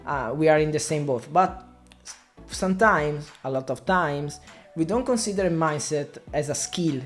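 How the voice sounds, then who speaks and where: Italian man, halting second-language English. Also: fairly high pitch, faint music behind. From Italy. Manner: halting non-native English with frequent restarts. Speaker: digital marketing media buyer. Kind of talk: casual talk to camera.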